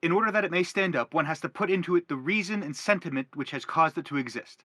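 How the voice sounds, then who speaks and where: A man's voice: a little high, fast, pushed and bright, no echo, clean studio sound. An actor playing a middle-aged father in a stage play, formal and declamatory as he anguishes and justifies himself. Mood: self-justifying. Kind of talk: theatrical stage play acting.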